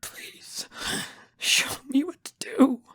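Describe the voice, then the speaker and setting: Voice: extremely slow, fairly bright tone, no echo, in a whisper. A narrator voicing a distraught, heartbroken young protagonist in an audiobook, crying and pleading in a choked-up, strained voice. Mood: broken whisper.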